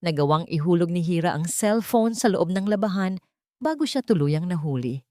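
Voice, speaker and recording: A woman's voice: lowish voice, lively intonation, normal speed, dark tone, no echo, studio quality. A woman narrating a scripted audio drama as its storyteller.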